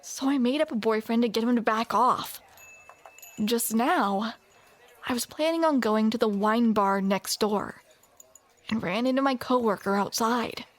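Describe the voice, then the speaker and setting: A young woman with a high voice acts out a romantic comedy audio roleplay into a close mic. She talks at an unhurried pace, flustered and playful, with awkward little laughs.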